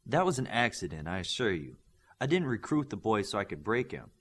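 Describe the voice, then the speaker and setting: A man voicing a stern, exacting male superhero coach in an audiobook, speaking in a formal, measured manner. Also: lowish voice, very animated, a bit bright. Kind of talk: audiobook character voice.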